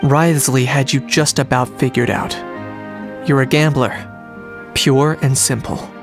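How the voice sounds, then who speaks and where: An actor playing a stoic young man in a video game, deadpan, skeptical and dryly cutting. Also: medium pitch, over music, lightly acted. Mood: cool suspicion. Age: young adult. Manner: deadpan, unhurried, dry.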